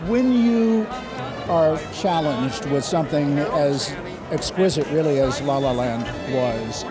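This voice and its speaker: A male red carpet interviewer with a fairly high voice. He speaks in a gushing, complimentary manner, with music clearly playing behind him. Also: slow pace. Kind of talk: event interview chat.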